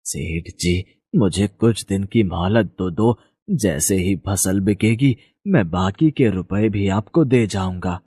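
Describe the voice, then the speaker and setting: Story drama father acted by a man: elderly villager, deep, humble, emotionally begging. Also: slow, mellow, breathy edge, no room sound, clean studio sound, heavily acted. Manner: pleading, emotional.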